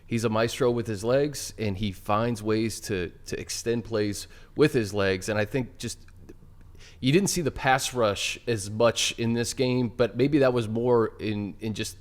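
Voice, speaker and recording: A man's voice: lowish voice, unhurried. A man hosting a sports talk show, steering the chat and setting up questions for his co-hosts. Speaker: show host.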